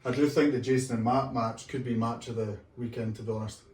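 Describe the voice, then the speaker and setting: A man's voice: mid-range voice, fairly even intonation, fast, a little mellow, recorded from a distance. A man giving upbeat opinions, tagging his lines with "eh". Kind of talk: casual chat. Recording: roomy, off mic, faint background noise.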